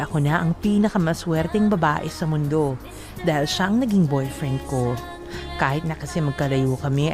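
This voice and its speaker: A lovestruck young Filipino woman with a low-pitched voice. She narrates her love story in first person, radio drama style, over clear music.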